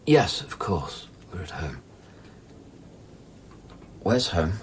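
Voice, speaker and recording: A very low-voiced man in an intimate radio play. He is guarded and uneasy, placating in short replies, his pitch moving expressively over a noisy background. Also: big pitch swings, extremely slow, full character voice. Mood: wary, dazed. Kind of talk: intimate radio drama acting.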